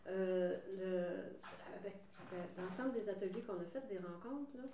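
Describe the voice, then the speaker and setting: An older woman with a Quebec French accent, interviewing in a chatty, relaxed way and slowing down when she gives details. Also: medium pitch, a crowd in the background. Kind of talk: casual interview conversation. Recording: roomy, distant mic.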